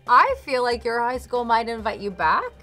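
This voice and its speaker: A woman's voice: fairly high pitch, big pitch swings, normal speed, sharp tone, faint music behind. A middle-aged woman talking casually and animatedly as a tarot card reader, giving a reading in a studio. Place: dark indoor studio, seated at a table.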